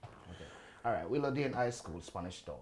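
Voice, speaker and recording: A man hosting a podcast interview, asking short, measured questions. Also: lowish voice, lively intonation, a little mellow. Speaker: podcast host.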